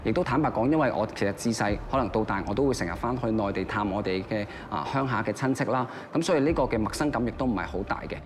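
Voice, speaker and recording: A man's voice: fairly low pitch, quick pace, few pauses. A man, a young entrepreneur, speaking frankly in an interview with fillers. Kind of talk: casual interview.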